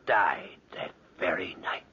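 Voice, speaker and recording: An actor playing a chatty, excitable older neighbour who rambles and repeats himself, in 1940s radio drama style. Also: male voice, deep voice, slow, slightly rough, full character voice. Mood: excitable, insistent. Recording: dull vintage 1940s radio recording.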